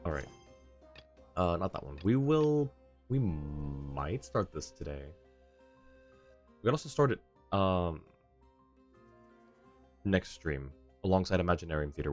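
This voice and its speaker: A man livestreaming a video game, chatting casually and thinking aloud in a rambling way. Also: deep voice. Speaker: livestreamer host. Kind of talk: casual chat while playing a game. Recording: game music behind the voice. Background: video game music and character voices.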